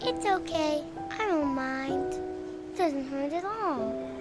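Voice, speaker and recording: Someone voices a sweet little girl with a very high voice who speaks slowly and eagerly. Loud music plays behind her. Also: lively intonation. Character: small cheerful little girl.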